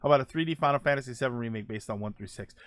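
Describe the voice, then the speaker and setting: A man's voice: mid-range voice, very fast, fairly bright tone, no room sound, clean studio sound. A man chatting casually as host of a live stream, rambling and joking as he answers viewers.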